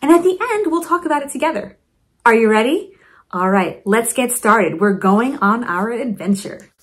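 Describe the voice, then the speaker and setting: A woman reading a storybook aloud to children in an expressive, encouraging way, with teaching asides. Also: on the high side, normal speed. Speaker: principal reading a picture book to children.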